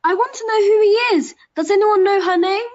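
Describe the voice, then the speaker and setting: A woman playing an eager, naive young gossip in a biblical audio play, curious and excitable. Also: extremely high pitch, brisk, lo-fi sound.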